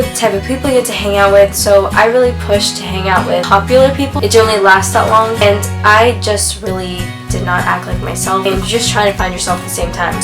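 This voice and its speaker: A raspy-voiced young woman, chatting upbeat with lots of "like" fillers, answering questions in a sit-down vlog at home. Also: medium pitch. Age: young adult. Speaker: YouTuber. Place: indoors at home, sitting on a yoga ball.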